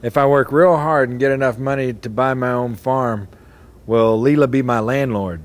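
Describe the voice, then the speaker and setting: An eager little farm boy, read aloud by a man in his own plain voice. The boy asks his question hopefully, at ordinary pitch with hardly any acting, over a faint hum of room noise. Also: normal speed, close to an everyday voice.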